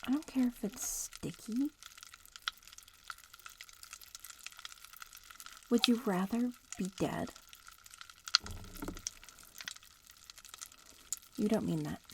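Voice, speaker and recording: A woman playing a bratty young witch in training in a soft-spoken ASMR roleplay, casually bossy and teasing but reassuring. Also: fairly high pitch, noisy background. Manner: casual, teasing, matter-of-fact instructions. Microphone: close-up ASMR microphone.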